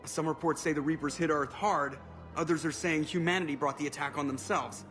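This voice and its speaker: A man's voice: on the high side, steady tone, fairly quick, a bit bright, close to an everyday voice. A man playing a wisecracking, sarcastic starship pilot in a video game, with a casual, sardonic way of talking. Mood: wry. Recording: background music.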